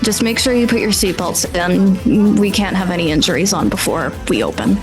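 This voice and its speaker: A woman's voice: mid-range voice, normal speed, music in the background, lightly acted, poor audio. A woman playing a tired, rule-bound male theme park employee with an officious, dutiful manner in a tabletop game. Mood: weary, officious.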